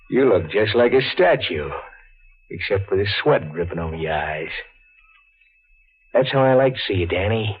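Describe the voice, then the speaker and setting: Husky, taunting American gangster. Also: male voice, sits low. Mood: gloating menace. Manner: slow, toying menace. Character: sadistic, taunting gangster boss, cold and menacing. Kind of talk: 1940s American radio drama acting. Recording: muffled vintage radio recording.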